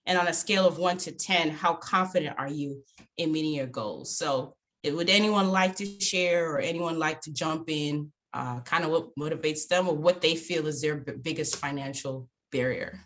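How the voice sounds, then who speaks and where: A young woman from Zimbabwe leads an online finance workshop in a relaxed, chatty way. Her voice sits a little low and she fills pauses with easy ums. It sounds like a video call. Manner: relaxed, chatty, many fillers. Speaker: workshop presenter. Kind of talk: casual online finance talk.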